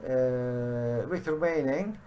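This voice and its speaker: Man, middle-aged, halting with many fillers, faint background hiss, home study webcam. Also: medium pitch, warm tone. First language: Turkish. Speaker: programming teacher. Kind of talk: screen-share coding tutorial.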